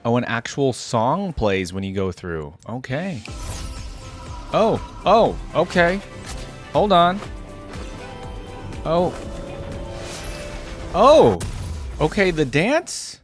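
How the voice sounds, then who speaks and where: A man's voice: fairly high pitch, big pitch swings, extremely slow, long pauses. A man giving a casual, informal live reaction commentary, thinking aloud with frequent exclamations. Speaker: reaction video host. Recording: music playing behind the voice. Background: game music and sound effects.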